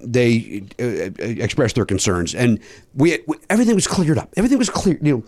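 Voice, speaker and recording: A comedian in his fifties with a raspy voice, chatting casually and haltingly on a podcast, repeating himself with mock exasperation. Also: mid-range voice, animated, darkish tone. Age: 50s.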